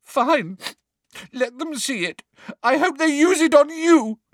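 A shrill teenage girl who stammers and sobs through every line, as a man puts her on. The voice sits very high and leaps about, and the sound is dry and close. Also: lively intonation, a little slow, bright, forceful tone, no echo, exaggerated voice. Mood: tearful.

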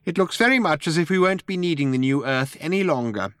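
A man voicing a small, brisk, acid-tongued talking mouse for an audiobook. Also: on the high side, expressive, no room sound, clean studio sound, voice pushed up, lightly acted. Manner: acidic, brisk, interrupting. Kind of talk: audiobook character voice.

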